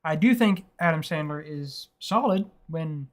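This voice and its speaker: A man's voice: fairly high pitch, expressive, measured pace. A young man co-hosting a casual film podcast, rambling and swearing freely, laughing often. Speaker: podcast co-host.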